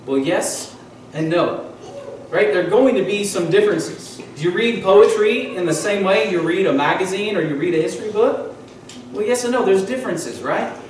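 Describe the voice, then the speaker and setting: Pastor preaching in an echoey church. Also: male voice, sits high, animated, normal speed, slight background noise. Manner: teaching, asks the congregation questions. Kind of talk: sermon. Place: church sanctuary, at a wooden pulpit. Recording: echoey church hall from far off.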